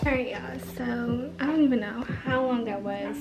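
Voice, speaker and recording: A woman's voice: a little high, normal speed, gravelly, over loud music. A teenage girl talking casually to her viewers in her bedroom and kitchen, chatty and often dropping to a whisper, into a handheld camera close to her face. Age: teens. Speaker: vlogger. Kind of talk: casual day-in-the-life vlog. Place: bedroom and kitchen at home, indoors.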